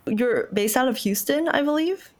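A woman's voice: high voice, normal speed. Reflective young woman, casual chat. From Malaysia. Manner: relaxed, reflective, frequent fillers. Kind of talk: casual conversation.

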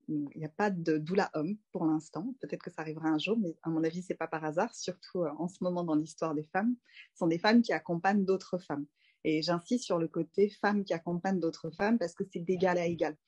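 Thirtysomething woman from france, lowish voice, chatty and reflective, lots of euh and restarts. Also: a little mellow, breathy edge. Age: 30s. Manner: reflective, many fillers and restarts. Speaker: doula and interview guest. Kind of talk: casual conversational interview.

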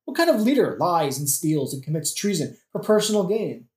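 A woman's voice: on the low side, very animated, normal speed, darkish tone. Roomy echo, woman acting as sardonic young prince, theatrical, melodramatic, comedic audio play.

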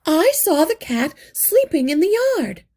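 A woman's voice: high-pitched, big pitch swings, measured pace, dark tone, pitched above the performer's own voice, full character voice. A woman reading aloud and voicing a worried but determined mother mouse, pleading earnestly. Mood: puzzled, worried.

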